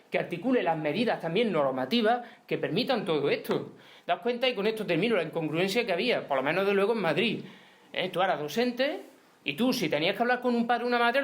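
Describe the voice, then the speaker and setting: A middle-aged man from Spain speaking at a conference in a hall, emphatic and argumentative, often ending points with tag questions. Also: high voice. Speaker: union speaker. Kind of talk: conference talk.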